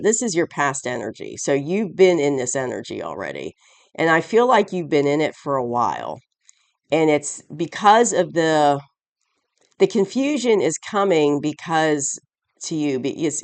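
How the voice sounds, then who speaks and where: A tarot reader talks through a weekly spread in a loose, conversational way. The voice is raspy and hoarse, thick with a head cold. Thoughts trail off and restart, often with a small chuckle. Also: mid-range voice. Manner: rambling, searching for words with many restarts; chuckles often while explaining. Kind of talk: casual weekly tarot reading.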